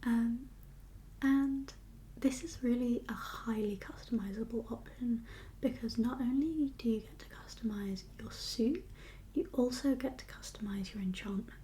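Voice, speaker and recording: A woman whispers in a breathy, mellow voice, set a little high. She talks like a doctor in an ASMR roleplay, gently reassuring and a touch hesitant as she goes. A faint hiss sits behind her. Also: a little slow.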